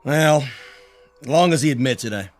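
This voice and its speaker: A man's voice: medium pitch, normal speed, faint music behind, lightly acted. An actor playing a grumbling, wary older townsman in a radio drama, blustery and folksy. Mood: grudging.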